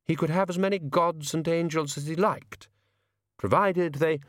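A man's voice: fairly high pitch, steady tone, moderate pace, dry recording, clean studio sound, voice pushed up, lightly acted. Jack Frost, a man doing a cold, prim spirit. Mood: cool. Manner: cool, precise, faintly wounded.

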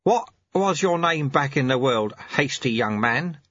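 Amiable little old sweeper-monk, unhurried and teasing, put on by a male narrator; slightly husky, dry sound. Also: mid-range voice, animated, a bit bright, no room sound, highs cut off, pitched above the performer's own voice. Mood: amused.

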